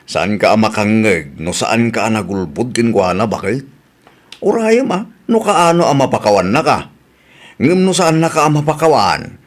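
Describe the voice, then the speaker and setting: A man's voice: mid-range voice, sing-song, moderate pace, lightly acted. A man playing an exasperated, scolding friend in a comically dramatic radio drama, with an Ilocano accent. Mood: exasperated, mocking.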